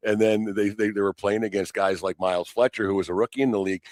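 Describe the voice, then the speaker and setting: A man with a low voice hosts a casual sports interview. He talks quickly and rambles, doubling back on phrases as he builds a question. The sound is dry. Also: fairly even intonation, darkish tone, no room sound. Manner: rambling, repeats and restarts phrases mid-question. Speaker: sports podcast host.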